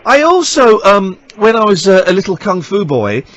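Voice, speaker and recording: A man's voice: high voice, very animated, moderate pace, fairly bright tone, no room sound, muffled recording. A middle-aged British man hosting a radio show, talking in an enthusiastic, rambling way and repeating words for emphasis.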